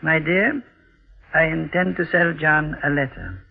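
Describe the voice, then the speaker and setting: An actor playing a sly, genteel elderly blackmailer in a 1940s radio mystery, with a mannered, mock-courteous way of talking. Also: male voice, on the high side, a little slow, gravelly. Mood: sly, needling. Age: older. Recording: muffled vintage radio broadcast, faint music.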